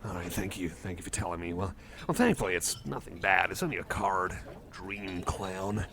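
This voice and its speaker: A man's voice: sits low, moderate pace, creaky. A man doing a casual ASMR roleplay as a friendly, nerdy cyborg, rambling hesitantly and correcting himself with mild enthusiasm. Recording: cafe chatter behind the voice. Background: cafe crowd ambience.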